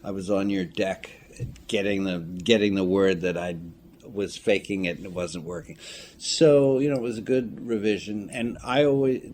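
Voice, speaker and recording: Low-voiced man, wry, over background noise. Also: normal speed, darkish tone. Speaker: filmmaker and audio drama writer being interviewed. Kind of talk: casual interview chat. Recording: clear background noise, room ambience.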